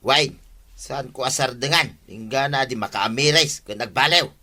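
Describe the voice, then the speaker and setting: A man's voice: medium pitch, normal speed, pushed and bright. An actor playing an exasperated village man who grumbles and complains in a comedy radio drama.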